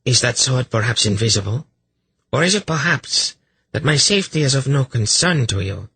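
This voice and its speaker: A man plays a cruel high priestess in a fantasy audiobook. She is imperious and suspicious, with a husky voice pitched up and swinging widely as she questions with cold, sarcastic menace. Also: lively intonation, normal speed, bright, forceful tone, no echo, heavily acted. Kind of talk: fantasy audiobook character acting.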